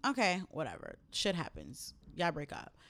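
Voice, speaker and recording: Animated young woman, low-pitched chat. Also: moderate pace, creaky. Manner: animated, swears often, spikes high when outraged. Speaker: podcast co-host. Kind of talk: casual chat.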